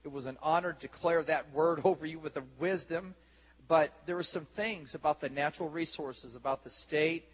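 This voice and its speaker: A man's voice: fairly high pitch, normal speed, slightly rough. Rousing man emceeing in a church hall. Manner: exuberant, rousing the congregation. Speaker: church leader hosting the service. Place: large church sanctuary stage. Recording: lo-fi camcorder recording in a reverberant hall.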